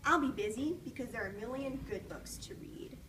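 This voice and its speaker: A woman reading a children's storybook aloud in an expressive, encouraging way, pausing to ask questions, recorded from a distance. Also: a little high. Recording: distant mic with faint background noise.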